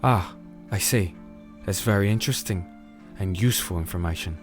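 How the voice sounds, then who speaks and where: A man playing a calm, firm crusader in a fantasy audio drama, narrating and speaking in a measured, solemn way. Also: low voice, soft background music, natural voice. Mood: polite, measured. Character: disenchanted male crusader, calm, firm sword-bearing investigator. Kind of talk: audio drama dialogue and first-person narration.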